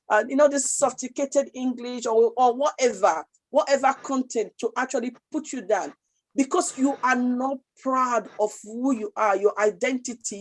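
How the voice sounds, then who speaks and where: A Nigerian woman speaking with a Nigerian accent in a casual talk show, passionate and emphatic, often repeating herself. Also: on the high side. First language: Nigerian language.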